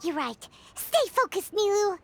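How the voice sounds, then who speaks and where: A woman voicing a tiny, excitable childlike fairy companion in a video game, squeaky in delivery. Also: unhurried, creaky, a little hiss or hum, heavily acted. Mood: excited, encouraging. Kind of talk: scripted video game voice acting.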